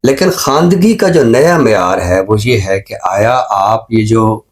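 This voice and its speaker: A man with a Pakistani accent speaking as a talk show expert in a reflective discussion, explaining in measured, repeated phrases with examples. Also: lowish voice, animated. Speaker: expert guest on a literary and social talk show.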